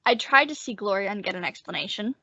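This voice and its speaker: A woman's voice: medium pitch, expressive, fast talker, pushed and bright, slightly rough, no room sound. A woman playing a desperate, lovesick husband with urgent, earnest pleading, in old-time radio drama style. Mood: worried. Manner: urgent, imploring delivery. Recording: dull lo-fi close dry recording.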